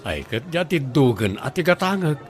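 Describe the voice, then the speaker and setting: A man playing a simple, excitable middle-aged bachelor in an Ilocano radio comedy drama, with an Ilocano accent. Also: a little high, brisk, soft background music. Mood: eager.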